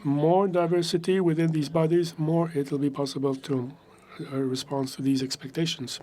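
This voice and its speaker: Halting man interpreting an answer. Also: fairly high pitch, level tone, darkish tone, a little hiss or hum. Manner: halting, measured.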